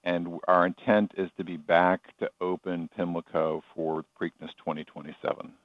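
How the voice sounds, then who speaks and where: A man's voice: sits low, level tone, normal speed, no room sound, phone-quality sound. A man giving informal, explanatory answers as a guest in a radio interview. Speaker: chairman of a racetrack authority, interview guest.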